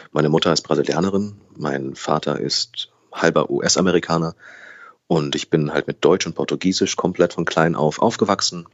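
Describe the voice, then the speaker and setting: Deep-voiced young man in interview. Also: lo-fi sound. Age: 20s. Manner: thoughtful, restarts and self-corrections. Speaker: guest. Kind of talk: conversational interview.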